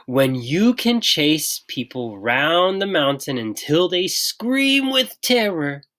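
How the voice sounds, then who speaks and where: Father yeti, big, affectionate, emphatic, very animated, storybook character, acted by a reader. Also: medium pitch, slow, pitched above the performer's own voice. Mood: gleefully menacing.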